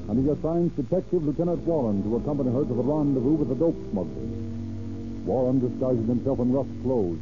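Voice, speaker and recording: A man's voice: medium pitch, natural voice. An actor playing a solemn male narrator of a police drama, with an American accent and a formal, declarative delivery. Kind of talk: 1930s radio scripted narration. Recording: tinny old radio recording with loud music.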